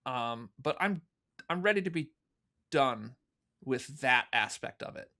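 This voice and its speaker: A man's voice: medium pitch, animated, measured pace, sharp tone. A man speaking as a podcast guest, explaining his production work in a casual, conversational way. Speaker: interview guest, fan audio drama producer.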